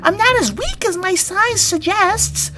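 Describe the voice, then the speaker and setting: A man's voice: very high-pitched, expressive, measured pace, voice pushed up. A man voicing a small, timid female mouse who stammers over her words. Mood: frantic.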